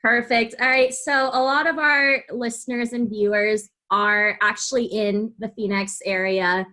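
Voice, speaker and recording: A woman with a fairly high, very bright voice hosts an interview. She asks her questions in a casual, friendly, conversational way. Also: little pitch movement, unhurried. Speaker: podcast host and interviewer.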